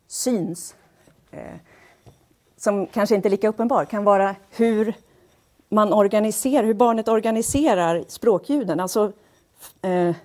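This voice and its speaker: A woman giving an explanatory public lecture in a measured, pausing and somewhat hesitant way. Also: medium pitch, a little mellow. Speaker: lecturer, speech-language pathologist. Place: wood-panelled lecture hall, indoors. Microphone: headset.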